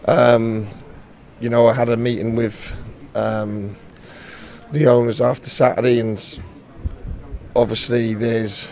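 A man's voice: medium pitch, little pitch movement, takes it slowly, creaky, pauses often, a little mellow. A middle-aged man, a football manager giving a frank post-match interview and often repeating words as he searches for them.

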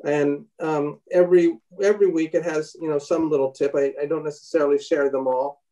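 A man in his fifties leading a bible study on a video call, reading aloud from a book with halting restarts. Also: a little high, fairly even intonation, mellow, recorded from a distance, poor audio. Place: home room indoors. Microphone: laptop webcam on a video call.

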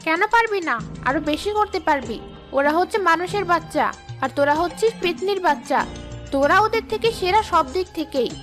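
A woman's voice: very high voice, animated, brisk, fairly bright tone. A woman voicing a bossy, scolding female ghost schoolteacher in a children's cartoon. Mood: proud, instructing. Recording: faint cartoon music behind.

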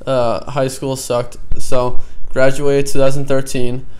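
Laid-back young man, flat delivery. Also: medium pitch, slow. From New Jersey, USA. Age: 20s. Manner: loose, candid, swears casually. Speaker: co-host of a business vlog. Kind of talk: casual chat.

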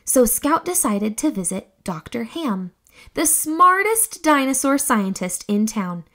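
A young woman reading a children's story aloud in a theatrical, expressive storytelling manner. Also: a little high, measured pace. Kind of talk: expressive scripted read-aloud narration for children.

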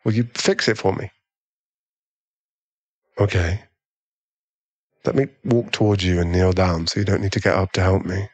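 A man playing a doting, easily swayed millionaire boyfriend in an ASMR roleplay, pleading and halting, with breathy sighs. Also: deep voice, steady tone, no echo. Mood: tender.

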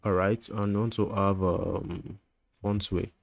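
A man's voice: fairly low pitch, little pitch movement, a little slow. A man giving a screen-recorded coding tutorial, thinking aloud as he types, with fillers and self-corrections.

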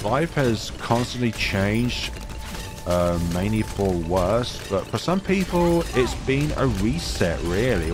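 A very low-pitched man, thirty, with a cold that makes his deep voice deeper still. He talks calmly over his own gameplay and drifts into chatty tangents. Game music plays clearly under his desk-mic voice-over. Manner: calm, rambling, chatty tangents. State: has a cold. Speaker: gaming channel host. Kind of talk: relaxed gameplay commentary.

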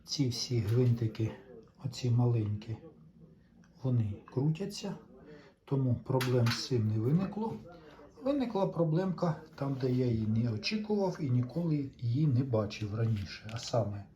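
A man's voice: medium pitch, animated, moderate pace, dark tone, lo-fi sound. A man giving casual hands-on commentary, chatting to viewers and thinking aloud as he works. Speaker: host of a tape recorder restoration video.

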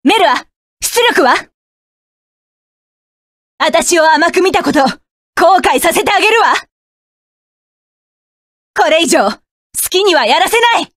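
A woman's voice: very high voice, animated, unhurried, pauses often, no room sound, studio quality, heavily acted. An actress voicing a fierce, cold young female mecha pilot in a game, giving curt, commanding battle lines. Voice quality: sharp, commanding.

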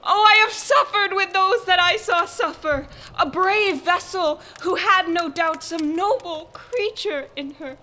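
A woman's voice: extremely high pitch, unhurried, hardly any pauses, pushed and bright, exaggerated voice. A young woman playing a tender-hearted, innocent, pleading daughter in a Shakespeare radio play. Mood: distressed, pleading. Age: young adult.

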